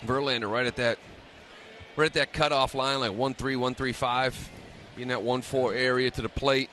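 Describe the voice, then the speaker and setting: A man's voice: medium pitch, fairly even intonation, moderate pace, sharp tone, a little hiss or hum. A man giving color analysis during a live baseball radio broadcast.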